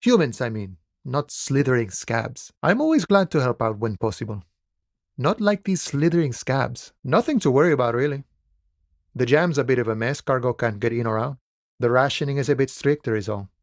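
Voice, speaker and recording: An actor playing an easygoing, wily striking dockworker in a video game, with folksy cowboy swagger and a theatrical, friendly drawl. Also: male voice, mid-range voice, expressive, moderate pace, no echo, clean studio sound, close to an everyday voice. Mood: easygoing, conspiratorial. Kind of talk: video game character dialogue.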